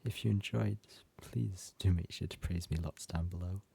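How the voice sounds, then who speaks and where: A man's voice: low-pitched, fairly even intonation, moderate pace, gravelly, dark tone, husky. A man who creates audio roleplays, speaking directly to his listeners in an outro.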